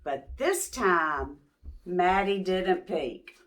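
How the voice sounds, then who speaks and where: A woman reading a picture book aloud to young children as host, expressive and pausing to ask questions. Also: lowish voice.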